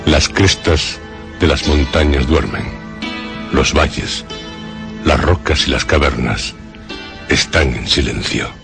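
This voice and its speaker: Radio host guy, super deep n slow, solemn dramatic pauses, intros to horror tales. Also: vocal fry. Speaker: presenter of a radio drama show. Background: loud music.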